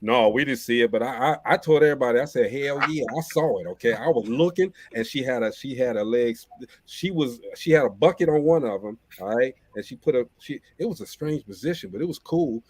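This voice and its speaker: A man's voice: mid-range voice, a little fast, dry recording. Joking man telling a rowdy story. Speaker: host. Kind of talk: casual panel chat.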